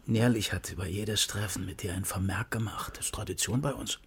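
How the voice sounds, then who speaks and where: An actor playing an embittered, coarse and threatening armed East German state security officer in a radio crime drama. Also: male voice, fairly low pitch, steady tone, a little fast, gravelly, slightly rough, heavily acted. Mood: bitter anger.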